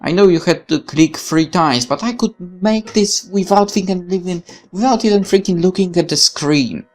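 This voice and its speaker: A woman's voice: on the low side, moderate pace, darkish tone, faint music behind. A woman reading a visual novel aloud as a let's player, breaking off into candid, opinionated commentary with some swearing. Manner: opinionated, exclamatory, swears freely. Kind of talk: reading game text with candid side commentary.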